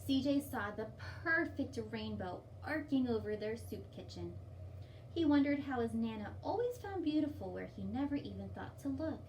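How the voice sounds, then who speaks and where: A woman's voice: a little high, big pitch swings, moderate pace, a little mellow. A woman presenting storytime for young children, reading and explaining in simple, child-directed language. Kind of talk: storybook read-aloud and singalong instruction for young children. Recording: distant mic, light background noise.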